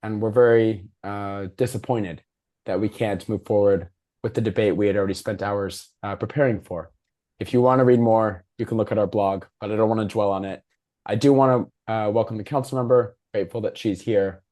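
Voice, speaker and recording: A man with a hoarse, failing voice hosting a civic discussion over a video call, presenting prepared material informally. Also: lowish voice, fairly even intonation, fairly quick, dark tone. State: hoarse, losing his voice. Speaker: moderator and host of a civic discussion.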